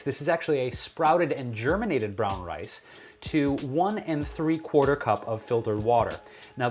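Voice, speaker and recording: An American man presenting a recipe as a cooking show host, chatty, playful and enthusiastic in his delivery. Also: medium pitch. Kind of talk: upbeat recipe demonstration to camera. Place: kitchen.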